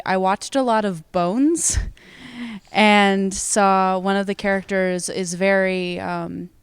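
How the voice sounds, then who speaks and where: An American teenage girl talks at a slow pace in a smooth, lowish voice. She is an enthusiastic student actor giving casual, upbeat interview answers. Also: no echo. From United States. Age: teens.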